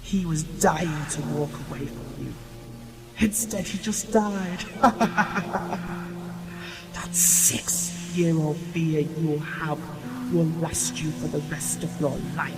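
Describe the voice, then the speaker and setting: An actor playing a cruel, mocking voice of conscience in a horror audio drama, menacing and sometimes whispering. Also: male voice, fairly high pitch, steady tone, takes it slowly, rough recording. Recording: loud music under the voice.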